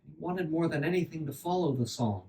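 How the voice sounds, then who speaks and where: A man telling a fairy tale aloud as a dramatic storyteller, deliberate, repeating phrases for effect. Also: on the high side, animated, mellow, far from the mic, slight background noise, rough recording.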